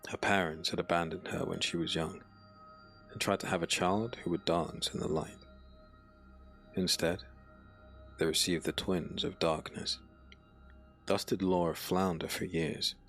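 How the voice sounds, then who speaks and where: A man narrating a dark fantasy audiobook in a solemn, dramatic, scripted style. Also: deep voice, level tone, a little slow, creaky, pauses often. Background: background music.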